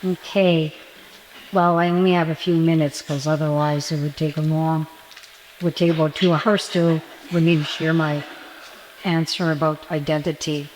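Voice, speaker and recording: A woman's voice: low voice, level tone, normal speed, darkish tone, slight background noise. An older woman from an Algonquin First Nation community speaking on a panel, giving impassioned testimony and repeating words for emphasis. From Kitigan Zibi, Algonquin First Nation community in Quebec. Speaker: forum panelist. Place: conference hall. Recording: reverberant hall sound.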